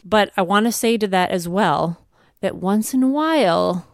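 A woman's voice: mid-range voice, expressive, moderate pace, vocal fry, no room sound, studio quality. A woman, a podcast co-host, chatting casually and encouragingly as she shares advice and anecdotes from home life. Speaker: podcast co-host, homeschooling mother.

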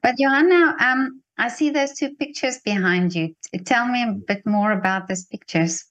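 A woman's voice: a little high, normal speed, fairly bright tone, poor audio. A woman, interviewing in a casual, agreeing, conversational way. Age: 60s. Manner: agreeing listener with short interjections. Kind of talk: casual supportive interview chat.

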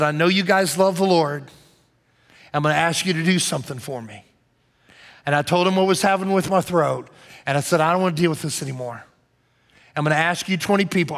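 A pastor delivers his sermon in a slightly higher-than-average man's voice. He speaks conversationally and drives his points home by repeating key phrases. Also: a bit bright. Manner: conversational preaching with emphatic repetition.

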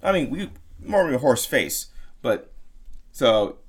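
A man's voice: medium pitch, very animated, unhurried, husky. A man hosting a podcast, telling a crime story in a crude, jokey, rambling way. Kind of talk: casual comedic storytelling.

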